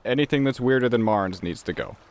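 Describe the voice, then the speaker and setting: A man's voice: medium pitch, animated, fairly quick. A man playing a tabletop game, casually planning his character's moves and asking questions.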